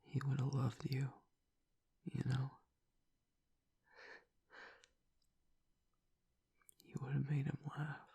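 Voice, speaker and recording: A man playing a gruff young werewolf pack alpha in an intimate audio roleplay, halting and tearful, his voice breathy and gravelly as he grieves and doubts himself. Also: completely flat delivery, warm tone. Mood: tearful, tender. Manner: halting, low-voiced, choked with emotion. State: tearful, on the edge of crying.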